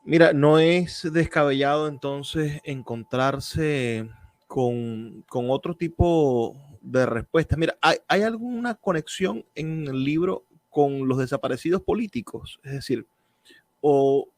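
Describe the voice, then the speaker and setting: A man hosting a radio interview, calmly reading an excerpt aloud and asking questions. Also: fairly high pitch, unhurried, no echo. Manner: calm, reads an excerpt then asks questions. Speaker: radio show host, interviewer.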